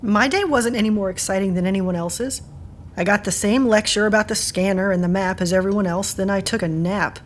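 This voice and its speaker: An actress playing a sharp-tongued, unimpressed woman in an audio drama, with a dry, sarcastic manner. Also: mid-range voice, brisk, slight background noise, close to an everyday voice. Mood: irritated.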